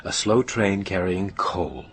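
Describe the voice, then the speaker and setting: An actor playing a patient, careful questioner in a radio drama, with a courteous, measured, slightly hesitant manner. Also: male voice, sits low, lively intonation, husky, full character voice. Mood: gently probing. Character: patient, careful male questioner, gently probing. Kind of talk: naturalistic radio drama acting.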